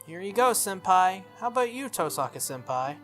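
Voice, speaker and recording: A gentle, deferential schoolgirl from a visual novel, put on by a game streamer. Her voice is lifted high and stays polite, over background music. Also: very animated, normal speed. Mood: polite, eager. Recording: music under the voice.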